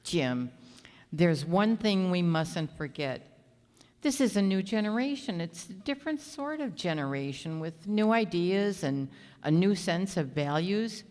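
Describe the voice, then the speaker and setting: An actress playing a fond middle-aged mother in a family radio drama, quick to worry. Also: lowish voice, lively intonation, a little mellow. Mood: reflective. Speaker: community radio actor. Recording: reverberant live hall.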